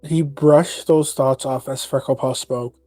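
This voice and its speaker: A man reads third-person story narration for a roleplay. His pitch is average for a male voice. The recording has little room sound, with soft music underneath. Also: steady tone, a little slow, no room sound. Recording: dry, music behind.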